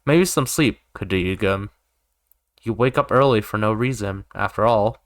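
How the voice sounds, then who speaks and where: A man's voice: lowish voice, normal speed, dry recording, clean studio sound. A man reading a visual novel aloud as a gaming YouTuber, sometimes stepping in with casual asides.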